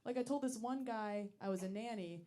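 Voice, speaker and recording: Woman, late 20s, low voice, dry self-mocking stand-up delivery, lots of ums. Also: a little fast. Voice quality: deep voice for a woman. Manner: deadpan, self-deprecating, with many ums. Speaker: stand-up comedian. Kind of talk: stand-up set. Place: comedy club stage.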